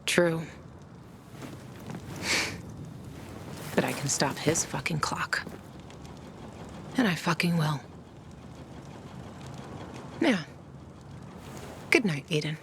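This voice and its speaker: An actress playing a bitter, grieving young woman bent on revenge in a video game, speaking in a hushed, intense way. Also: sits low, lively intonation, slow, pauses often, noisy background, full character voice. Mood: vengeful.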